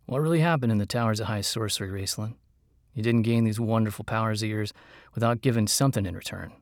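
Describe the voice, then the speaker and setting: A man's voice: on the low side, quick pace, no room sound, studio quality, lightly acted. A man voicing a proud, suspicious knight with a cold, stern, accusing manner. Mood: sneering.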